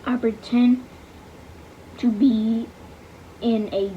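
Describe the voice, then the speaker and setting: A young female reader going slowly through a book aloud, halting over words and saying the punctuation out loud. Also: a little high, fairly even intonation, slight background noise. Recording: dull lo-fi home recording.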